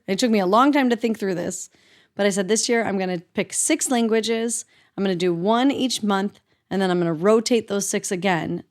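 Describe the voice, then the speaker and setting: A woman in her thirties co-hosting a podcast, chatting casually and a bit self-deprecating, with frequent fillers and restarts. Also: medium pitch. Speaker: podcast co-host.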